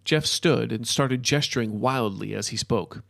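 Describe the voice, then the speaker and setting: A man's voice: mid-range voice, unhurried, gravelly, fairly bright tone, no echo, clean studio sound. A man reading scripted fiction as a storyteller, narrating the action.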